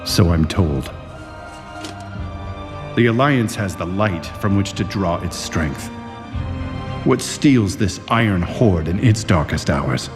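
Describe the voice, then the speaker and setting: Echoing, stern, embittered alien leader. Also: male voice, low voice, lively intonation. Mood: skeptical, grave. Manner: stern, deliberate, declamatory. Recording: long cavernous reverb under music.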